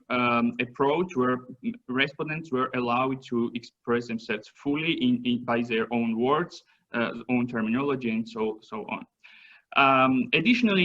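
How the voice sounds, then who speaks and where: A man from Georgia, a native Georgian speaker, presents his research in English at a seminar. He pauses often with fillers and restarts words as he goes. His voice is mid-pitched and comes through dull, lo-fi audio. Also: fairly bright tone. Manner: halting, many fillers and restarts. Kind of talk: academic presentation.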